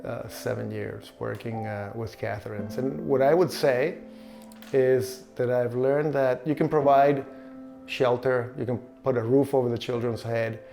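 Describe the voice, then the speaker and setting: Older man from Chile, gravelly voice, halting and earnest, with faint guitar behind him. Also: mid-range voice. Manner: halting, earnest, searching for words. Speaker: orphanage director. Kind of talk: documentary interview.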